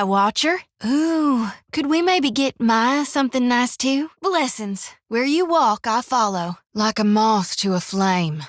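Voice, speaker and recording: A woman voicing a folksy, devout young priestess in a video game, with an earnest, expressive Southern US drawl. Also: on the high side, no echo, studio quality. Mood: cheerful, folksy. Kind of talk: video game character voice lines.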